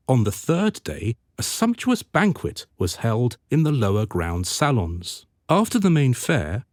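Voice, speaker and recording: Expressive, solemn storyteller. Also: male voice, mid-range voice, no room sound, studio quality. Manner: literary, dramatic. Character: solemn, florid storyteller of a Venetian tale. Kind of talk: scripted radio drama narration.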